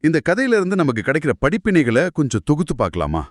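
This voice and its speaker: Animated man co-hosting, very dry sound. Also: fairly high pitch, fast talker, dry recording. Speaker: podcast co-host asking questions and summing up. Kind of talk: scripted conversational discussion.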